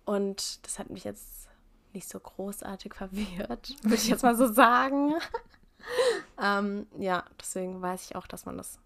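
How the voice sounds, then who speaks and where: A young woman from Germany, a guest in a casual interview, talking thoughtfully and candidly with soft laughs. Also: medium pitch, unhurried, creaky. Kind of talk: casual conversation.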